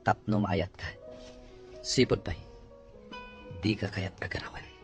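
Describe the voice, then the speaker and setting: A man's voice: fairly low pitch, unhurried, soft background music, natural voice. A man acting a stern, cold, reproachful husband in a melodrama, speaking firmly with a Filipino accent.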